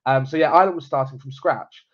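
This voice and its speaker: A young man with a stuffy cold talks in a rambling, friendly way, full of fillers and repeated words. His voice sits in a middle pitch. The sound is a little dull, as if picked up by a webcam in a small room at home. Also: fast. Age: young adult. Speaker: genealogy presenter. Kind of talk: casual live-stream explainer. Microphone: webcam at desk. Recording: slightly dull webcam audio.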